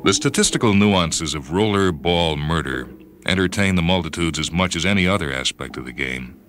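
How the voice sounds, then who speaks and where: A man reading a short story for radio as a brooding first-person narrator, with dramatic pauses. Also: deep voice, animated, fairly bright tone. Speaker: story reader. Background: music and sound cues.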